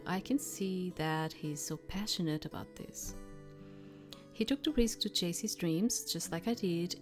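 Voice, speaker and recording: A woman streamer reading visual novel text aloud as narration, with casual asides. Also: lowish voice.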